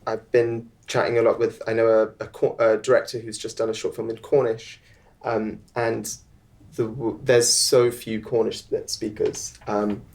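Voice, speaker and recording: Chatty young man, halting with ums. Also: on the low side, little pitch movement, a little mellow. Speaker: filmmaker guest. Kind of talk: live panel conversation. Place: live event on stage.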